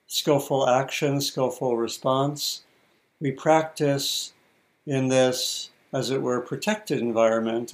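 A man teaching meditation, giving guided instructions in short, measured phrases with deliberate pauses between them. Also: medium pitch.

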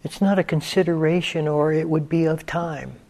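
A man teaching a guided meditation, speaking deliberately in short phrases with long pauses, often letting endings drop to a hush and repeating key words. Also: fairly high pitch, steady tone.

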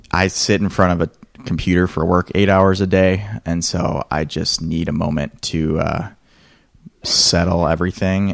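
Low gravelly man, earnest group sharing. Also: no room sound, clean studio sound. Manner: earnest, rambling, self-correcting. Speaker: meditation group member.

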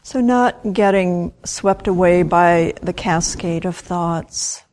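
A woman leading a guided meditation as a teacher, gentle and unhurried, leaving long pauses between short phrases. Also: on the low side.

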